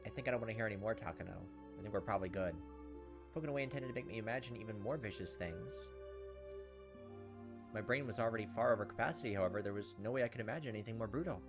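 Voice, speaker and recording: A man hosting a gaming video, reading visual novel text aloud dramatically with joking, exasperated asides. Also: fairly low pitch. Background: game music and cricket sounds.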